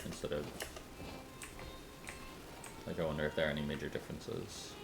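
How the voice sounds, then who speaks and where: A man's voice: extremely low pitch, level tone, moderate pace, gravelly, loud music behind the voice. A man casually commenting while playing a story game, with dry offhand asides. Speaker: streamer.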